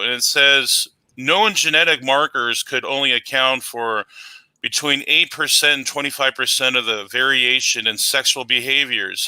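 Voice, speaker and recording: A man's voice: medium pitch, pushed and bright, clear, resonant tone, no echo, low-quality recording. A man in an online debate, giving an opening statement and reading out research citations.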